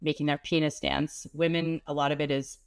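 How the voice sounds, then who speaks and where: A woman's voice: sits low, level tone, a little fast, vocal fry, clear, resonant tone. A woman with a stuffy nose from a cold, a pelvic health expert speaking as an interview guest. She explains in a practical, plain-spoken way.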